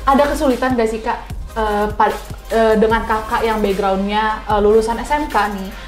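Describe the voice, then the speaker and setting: A woman's voice: a little high, fairly even intonation, normal speed. A young woman hosting a casual interview in a chatty, informal way, repeating answers back as questions. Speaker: talk show host. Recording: roomy echo, faint music behind. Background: faint background music.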